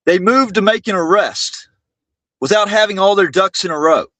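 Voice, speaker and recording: A man hosting a livestream monologue, speaking indignantly and haltingly, often repeating his words. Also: sits high, pushed and bright, no room sound. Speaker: livestream host.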